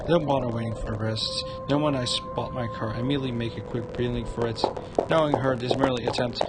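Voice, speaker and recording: A man livestreaming a visual novel and reading its narration aloud in a halting, stumbling way. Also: mid-range voice, fairly even intonation. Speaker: game streamer. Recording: loud music under the voice.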